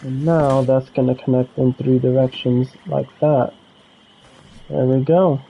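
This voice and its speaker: A man's voice: medium pitch, unhurried, slightly rough, lo-fi sound. An American man casually talking through his game build as a streamer, muttering to himself and chatting with viewers. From United States. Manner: relaxed, talks to himself while building, chats with viewers. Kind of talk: casual gameplay commentary.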